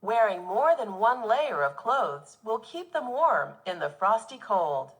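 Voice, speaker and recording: A woman teacher reading a nonfiction children's book aloud in an expressive, instructive way for young pupils. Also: medium pitch, measured pace.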